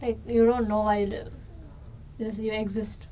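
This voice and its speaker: A woman's voice: medium pitch, little pitch movement, moderate pace, warm tone. A woman in an unscripted, casual conversation as an experiment participant, explaining things tentatively in simple words. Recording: distant mic, faint background noise.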